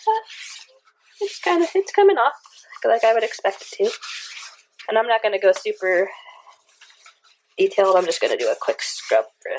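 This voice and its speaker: A woman's voice: medium pitch, very animated, moderate pace, pauses often, poor audio. Woman host with a stuffy cold, chatty and rambling in a home kitchen, faint background hiss. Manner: chatty, rambling, frequent restarts. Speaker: cleaning video host. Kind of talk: casual product test vlog.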